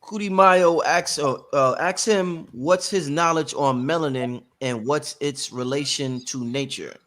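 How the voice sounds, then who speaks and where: A man's voice: fairly high pitch, measured pace, a bit bright. A man hosting a live talk show, speaking casually and informally to his audience as family.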